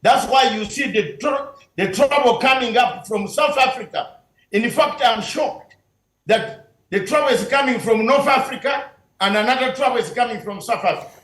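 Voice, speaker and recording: A man's voice: very high-pitched, moderate pace, fairly bright tone. A man speaking as a guest on a news panel, emphatic and declamatory, raising his voice. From Uganda. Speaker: guest commentator. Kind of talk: impassioned debate on a news panel. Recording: echoey room, far from the mic.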